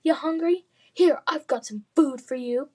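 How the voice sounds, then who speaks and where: A woman's voice: high-pitched, unhurried, darkish tone, pitched above the performer's own voice. A woman voicing a cruel, mocking bully boy in a children's fantasy storybook. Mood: mock concern. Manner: mocking, taunting.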